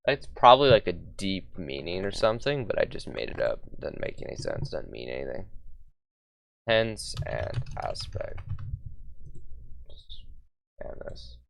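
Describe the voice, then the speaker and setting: A man's voice: low voice, sing-song, measured pace, vocal fry, long pauses, a little hiss or hum. A young man streaming casually, thinking aloud, reading notes half under his breath and mocking himself, as he says he is losing his voice. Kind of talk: casual livestream, thinking aloud while building a conlang.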